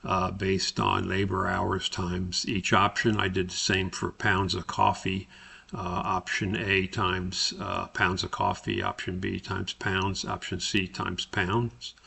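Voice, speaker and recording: A man narrating a spreadsheet tutorial as an instructor, explaining step by step in a halting way. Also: deep voice, level tone, gravelly, bright, forceful tone, husky.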